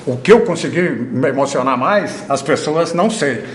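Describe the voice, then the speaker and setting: A man's voice: a little high, animated, normal speed, roomy sound, slight background noise. A man in his sixties, a veteran sports radio announcer, recalling his career with emphatic pride. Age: 60s. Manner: proud, emphatic storytelling. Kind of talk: interview recollection.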